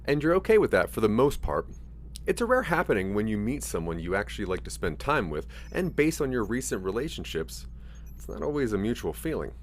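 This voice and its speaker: A man's voice: on the low side, big pitch swings, brisk, a little hiss or hum. A man narrating a scripted interactive audio drama in the second person.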